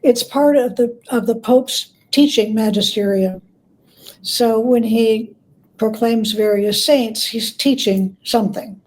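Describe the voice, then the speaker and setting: Female expert guest, soft mellow tone set fairly high, measured conversational explainer who pauses between phrases. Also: rough recording. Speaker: interview guest, author and scholar.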